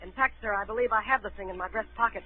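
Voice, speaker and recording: Man playing earnest, fast-talking high-voiced boy. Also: faint music behind, lightly acted. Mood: earnest, polite. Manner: deferential, hurried. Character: earnest, polite boy, young cabin boy-to-be. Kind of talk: 1930s radio acting. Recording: tinny old 1930s radio broadcast.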